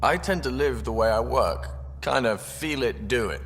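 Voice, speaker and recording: An actor playing a laid-back, wry starship engineer in a video game, talking casually in clipped phrases. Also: male voice, mid-range voice, expressive, normal speed, fairly bright tone, slight background noise. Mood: easygoing, candid. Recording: long hall reverb with faint music.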